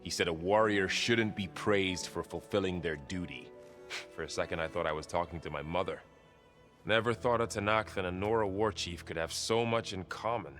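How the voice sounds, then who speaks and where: A loyal young warrior in a cinematic game scene. His voice is on the low side. He speaks calmly and sincerely, with a thoughtful, conversational and steady delivery. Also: normal speed, faint music behind. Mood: sincere, easygoing. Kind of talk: naturalistic video game cutscene acting.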